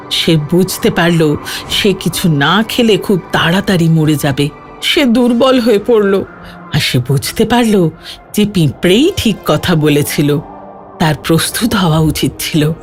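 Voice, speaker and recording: A woman reading a scripted children's story as narrator, with an expressive storytelling delivery. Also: mid-range voice, a little slow, faint music behind.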